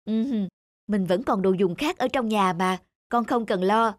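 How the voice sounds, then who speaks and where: A woman voicing a calm young mother in a cartoon, speaking softly and reassuringly. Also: mid-range voice, unhurried, no room sound, clean studio sound, close to an everyday voice. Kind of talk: animated drama dubbing.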